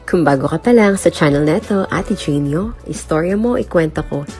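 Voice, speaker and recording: Female host, low register, scripted subscribe plug, light music behind. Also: animated, moderate pace, darkish tone. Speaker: channel host. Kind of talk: scripted channel promo.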